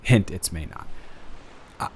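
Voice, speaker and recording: A man playing a nervous, adoring young boyfriend in an improvised intimate roleplay audio, speaking in a halting, bashful, rambling way. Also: a little mellow, a little hiss or hum, lightly acted. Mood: nervous, earnest.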